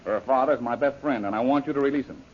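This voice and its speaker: A man's voice: mid-range voice, quick pace, lightly acted. Brave, wry adventurer hero male actor, mid-range voice, forthright, vintage radio serial with dull sound. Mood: resolute. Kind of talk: old-time radio adventure serial acting. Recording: muffled, noisy old radio recording.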